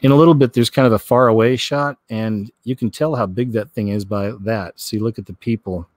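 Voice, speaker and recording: A man's voice: lowish voice, steady tone, fairly quick, no room sound. A man hosting a vlog, commenting on clips and news in a casual, folksy, rambling way. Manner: folksy, rambling, repeats himself.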